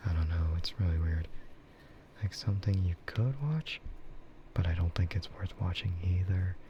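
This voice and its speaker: A man doing casual ASMR stream chat, soft, breathy and mostly whispered, in a hesitant, rambling way. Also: low voice, normal speed. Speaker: streamer, VTuber. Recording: dull, muffled audio with loud ambient noise.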